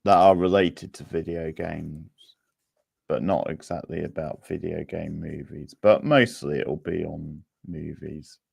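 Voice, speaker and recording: A man hosting a livestream quiz, chatting casually in an unhurried, rambling way as he replies to viewers. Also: a bass voice, gravelly, darkish tone, slightly rough, dry recording, studio quality.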